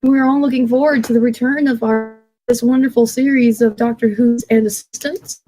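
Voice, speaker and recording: A woman with a notably high voice speaks as a podcast co-host. She makes casual announcements to her listeners in a panel-talk setting. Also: fairly even intonation, moderate pace, mellow, faint music behind, poor audio.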